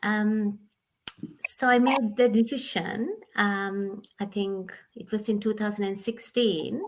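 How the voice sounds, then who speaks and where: A woman in her forties, originally from Sri Lanka, telling her life story as an interview guest over a video call, unhurried, with many pauses and fillers. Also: mid-range voice, fairly even intonation, background noise. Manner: unhurried, many "um"s, laughs often.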